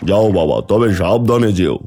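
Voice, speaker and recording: A man's voice: fairly low pitch, animated, normal speed, mellow, soft background music, lightly acted. A man playing a commanding village landowner and emotional father in a cartoon folk tale, speaking dramatically. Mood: indulgent. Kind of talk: Bengali cartoon fairy tale dubbing.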